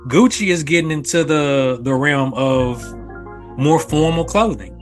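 A man's voice: a little high, a little slow, faint music behind. A man in casual conversation who repeats his words and keeps checking that listeners agree. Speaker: podcast guest. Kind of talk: casual chat.

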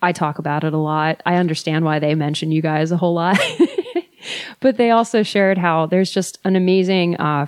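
A woman's voice: on the low side, a little mellow, dry recording. Friendly woman podcast host, dry sound. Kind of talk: casual podcast chat.

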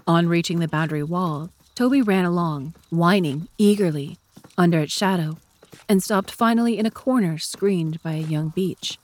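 A woman's voice: lowish voice, normal speed, darkish tone. A woman reading scripted story narration as the narrator of a dramatised audiobook.

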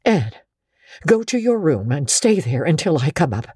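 A woman's voice: deep voice, sing-song, darkish tone, breathy edge, dry recording, studio quality, heavily acted. A woman voicing a stern but fair guardian in a dramatic audiobook, speaking firmly and with restraint. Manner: firm, restrained, deliberate. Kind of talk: dramatic audiobook character acting.

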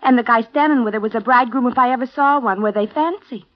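A woman playing a quick, gossipy busybody. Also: on the high side, lightly acted. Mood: confiding. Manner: chatty, confiding, gossipy. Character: nosy, talkative woman staying at the house. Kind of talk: 1950s radio drama acting. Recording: lo-fi old radio broadcast.

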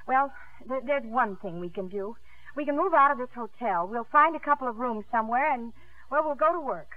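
A woman's voice: a little high, animated, a little fast, lightly acted. An actress playing a spirited, cheerful young woman swimmer in a 1950s radio drama. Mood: concerned, bracing. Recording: muffled vintage radio broadcast recording.

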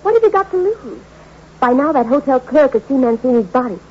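An actress playing a scheming, seductive femme fatale hungry for gold, with a coaxing, slangy delivery in a 1960s radio suspense play. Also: female voice, high voice, big pitch swings, fairly quick, breathy edge, full character voice. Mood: coaxing, greedy. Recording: muffled, slightly noisy old radio recording.

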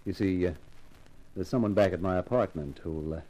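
An actor playing a wry, tough private eye in a 1950s radio crime drama. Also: male voice, sits low, fairly quick, dark tone, close to an everyday voice. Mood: wry, relaxed. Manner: wry, wisecracking. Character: wisecracking tough private eye, brave and flirtatious. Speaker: lead actor and narrator.

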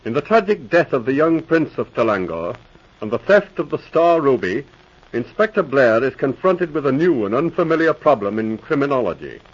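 A man's voice: mid-range voice, big pitch swings, moderate pace, breathy edge. Dramatic 1940s radio announcer, man. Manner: formal, dramatic. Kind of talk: scripted 1940s radio narration. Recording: dull lo-fi old radio transcription.

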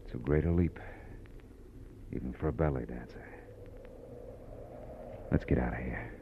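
An American actor playing a tough, brisk, wry private detective in 1940s radio noir style. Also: male voice, a bass voice, vocal fry, background noise, heavily acted. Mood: tense, wry narration. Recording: muffled old radio recording with music.

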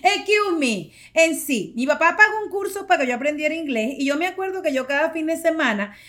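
A woman's voice: high-pitched, lively intonation, brisk, a bit bright. A woman in her forties hosting a podcast, telling stories in a chatty, playful way and laughing often. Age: 40s. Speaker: podcast host. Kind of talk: casual storytelling monologue.

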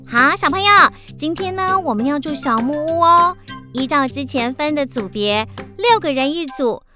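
A woman's voice: high-pitched, animated, normal speed, over music, pitched above the performer's own voice. A woman playing a cheerful, organising primary school teacher in a children's radio drama. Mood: bright, instructing.

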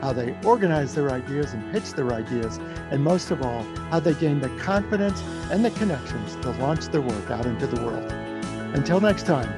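A man's voice: mid-range voice, expressive, normal speed, loud music behind the voice. A man speaking as the host of an interview podcast.

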